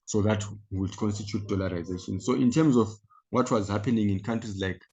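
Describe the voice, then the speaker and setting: A man's voice: lowish voice, brisk, darkish tone, muffled recording. A man leading an online economics tutorial, explaining step by step and often checking in with "right?" over a video call. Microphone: computer mic on a video call.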